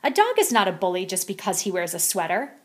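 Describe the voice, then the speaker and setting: A woman voicing an excitable boy kitten who believes he is a chihuahua, in a children's storybook. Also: medium pitch, fast, husky. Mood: bouncy.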